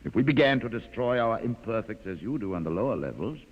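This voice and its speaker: Calm menacing mutant boss, husky voice, very formal and measured, swoopy delivery, old 1950s radio drama. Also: male voice, fairly low pitch. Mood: composed, proud. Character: calm, educated male mutant leader, courteous but menacing. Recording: muffled vintage radio, long reverb.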